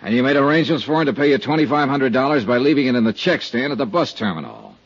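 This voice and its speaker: A hard-nosed American private investigator, with a husky voice that moves up and down a lot. He presses bluntly and skeptically, as in a vintage radio detective serial, on a dull 1950s recording. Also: mid-range voice, big pitch swings, quick pace. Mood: probing.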